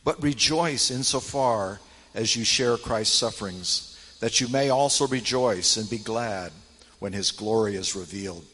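A man reads scripture aloud as a church elder, solemnly and with measured, deliberate phrasing. His voice is husky. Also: fairly low pitch, a bit bright. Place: large church hall, speaking to congregation. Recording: long hall reverb.

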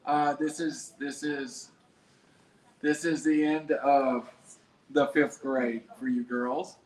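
Adult host prompting with quick questions. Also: medium pitch, little pitch movement, a little mellow. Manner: prompting, asks short leading questions. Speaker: host interviewing two children. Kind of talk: casual home-made show chat. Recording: roomy echo, faint background hiss.

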